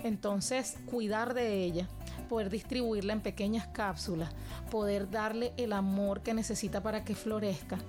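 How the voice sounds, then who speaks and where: Earnest Venezuelan woman, measured and reflective. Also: mid-range voice, fairly even intonation, music in the background. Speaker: guest, cultural educator. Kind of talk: interview answers.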